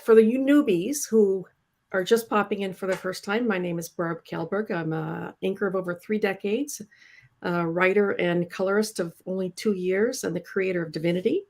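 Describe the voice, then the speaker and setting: Casting: American woman, middle-aged, informal live-show host, chatty and quick to joke. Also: mid-range voice, darkish tone. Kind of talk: casual live show hosting.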